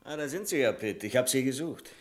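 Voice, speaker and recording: An actor playing a proper, principled bank clerk in a radio play, speaking in a formal, precise and argumentative way. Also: male voice, mid-range voice, animated, a little fast, over loud music, close to an everyday voice. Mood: reproving. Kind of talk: German radio play acting.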